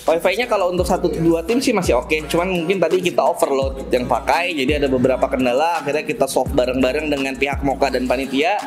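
A man's voice: fairly high pitch, fast, hardly any pauses, music in the background. A young man, the tournament organizer, chatting casually in an interview with lots of fillers, indoors at a cafe. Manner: relaxed, chatty, many fillers and laughs. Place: cafe stage in front of a decorated wall, indoors.

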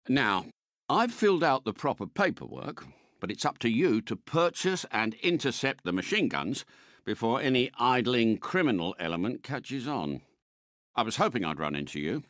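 An actor playing a suave, courteous British spy car in a video game, a polite and unflappable mentor with refined, precise phrasing. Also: male voice, medium pitch, a bit bright, no room sound, studio quality. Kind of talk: scripted game character voice lines.

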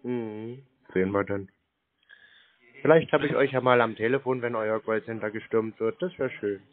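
A man's voice: lowish voice, moderate pace. A man in a casual phone conversation, baiting scam callers in a laconic, teasing manner. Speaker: prank caller baiting scam call centers. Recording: band-limited phone-call audio.

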